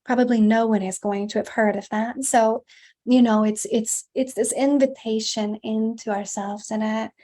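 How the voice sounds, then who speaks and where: A woman's voice: on the high side, steady tone, moderate pace, warm tone. A woman in an interview, talking in a flowing, emphatic way, often restarting words and adding "like". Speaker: guest, women's embodiment teacher. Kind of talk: conversational interview.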